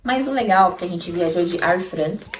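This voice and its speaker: A woman's voice: lowish voice, expressive, moderate pace, slight background noise. A woman in her thirties with a Brazilian accent, a mother showing travel items in a chatty vlog and talking straight to viewers. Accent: Brazilian Portuguese. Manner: chatty, addresses viewers directly with frequent tag questions. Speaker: mother vlogger. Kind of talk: casual show-and-tell tips. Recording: echoey room, mic at a distance, faint music.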